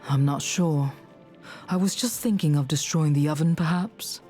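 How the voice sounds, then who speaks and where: A woman's voice: low voice, normal speed, warm tone, faint music behind, natural voice. An actress playing a determined young woman rebel in a video game, earnest and subdued. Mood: unsure. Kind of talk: video game cutscene acting.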